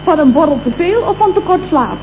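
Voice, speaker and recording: A woman's voice: high-pitched, expressive, fairly quick, a little mellow, a little hiss or hum. An actress playing an inquisitive woman at the office in a vintage radio comedy. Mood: curious. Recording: muffled, echoey old radio recording.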